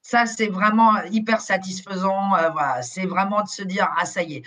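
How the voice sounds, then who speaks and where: A woman's voice: medium pitch, normal speed, a bit bright. A middle-aged woman whose first language is German, a company director talking casually in a live interview, full of fillers and restarts. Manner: chatty, colloquial, many fillers and restarts. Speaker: agency director, guest.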